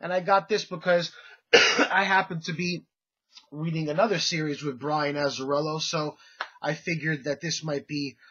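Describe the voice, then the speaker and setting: Casting: stuffy-nosed guy, husky voice, rambling casual collector vlog, dull lo-fi sound. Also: fairly high pitch, steady tone, normal speed, bright, forceful tone. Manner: rambling, many fillers and restarts. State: congested, under the weather. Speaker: comic collecting vlogger. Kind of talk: casual show-and-tell haul video.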